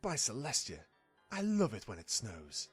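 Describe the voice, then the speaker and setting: Man voicing a sneaky, husky pony reporter. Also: mid-range voice, huge pitch swings, normal speed, faint music behind, full character voice. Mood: relieved, pleased. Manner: scheming, scolding. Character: sneaky, bossy male pony reporter, obsessed with scoops. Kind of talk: amateur audio drama acting.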